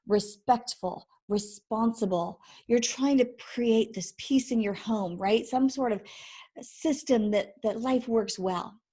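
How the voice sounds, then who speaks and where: A woman in her forties with a croaky, hoarse voice, hosting a chatty faith-based parenting vlog, laughing often and drifting into tangents. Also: fairly high pitch, mellow. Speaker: vlog host and ministry founder. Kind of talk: casual faith-based parenting talk to camera. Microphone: microphone she sometimes bumps.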